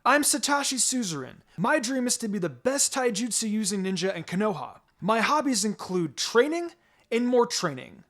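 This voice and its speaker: A man's voice: high voice, sing-song, moderate pace, fairly bright tone, pitched above the performer's own voice, heavily acted. A man voicing an eager, earnest young ninja boy in a fan fiction reading. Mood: eager, proud. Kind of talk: fan fiction character dialogue. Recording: music behind the voice.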